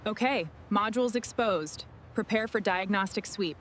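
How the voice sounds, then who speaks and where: A woman's voice: mid-range voice, moderate pace, fairly bright tone, slight background noise. A woman speaking as a garage mechanic, giving terse procedural call-outs in a businesslike, instructional way.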